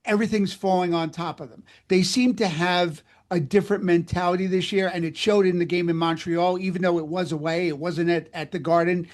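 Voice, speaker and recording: A man with a notably high-pitched voice conducts a casual sports interview. He talks in a wordy, looping way, rephrasing as he works toward his question. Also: fairly even intonation, brisk, hardly any pauses.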